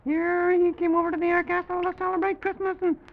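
A man's voice: completely flat delivery, quick pace. A man voicing a fussy, flustered little toy-land president for a children's story show, rambling in folksy exclamations. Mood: fretful. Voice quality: high, creaky. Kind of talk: old-time children's story show character acting. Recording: dull, lo-fi vintage broadcast recording.

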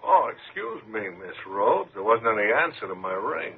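An actor playing an outwardly obliging but quietly menacing night elevator operator in a 1950s radio thriller, speaking plainly and with casual politeness. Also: male voice, on the low side, very animated, normal speed, gravelly, slightly rough, full character voice. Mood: apologetic. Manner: plain working-man speech, casually polite. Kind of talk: 1950s radio suspense acting. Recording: muffled, noisy 1950s radio recording.

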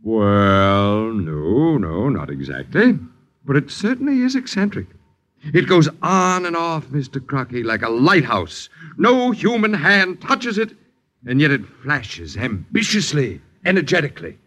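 Suave, sardonic boarder, swooping intonation. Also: male voice, mid-range voice, big pitch swings, unhurried, a bit bright. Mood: teasing complaint. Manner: florid, pompous diction, mock politeness. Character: suave, sardonic, uppity boarder who needles his landlords. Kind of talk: 1950s radio drama acting.

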